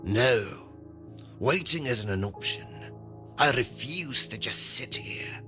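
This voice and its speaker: A man's voice: medium pitch, expressive, slow pace, pushed and bright, faint music behind. A man playing a curt, secretive maintenance worker trapped in an elevator, speaking in a terse, guarded way in an audio drama. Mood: grim, urgent. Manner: terse, weighted, guarded delivery.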